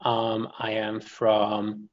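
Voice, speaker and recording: A man from Morocco who lectures in computer science, giving a relaxed, friendly webinar presentation with frequent pauses and fillers. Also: on the low side, muffled recording, slight background noise.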